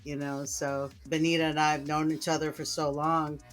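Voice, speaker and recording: A woman's voice: deep voice, level tone, normal speed, faint music behind. A woman from Puerto Rico co-hosting a podcast in casual chat, conversational and heartfelt, often saying "you know.". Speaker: podcast co-host.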